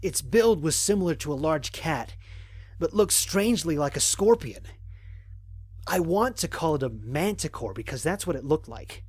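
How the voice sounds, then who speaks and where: A man playing a curious, methodical space explorer in an audio drama, narrating his mission log in a measured, formal way. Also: on the high side, lively intonation, a little mellow, breathy edge, no echo. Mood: sober, wary.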